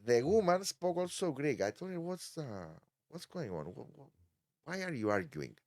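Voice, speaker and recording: A man's voice: on the high side, sing-song, moderate pace, a little mellow, dry recording, clean studio sound. A middle-aged man from Cyprus, a hotel director talking as a guest in a casual interview, hesitant, with many ums and restarts.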